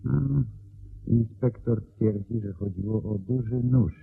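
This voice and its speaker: An actor playing a deferential, evasive private secretary in a Polish radio play, polite, hesitant and deliberate. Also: male voice, fairly low pitch, steady tone, creaky, soft background music. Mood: explaining, guarded. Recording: narrow phone-like band, hissy old radio.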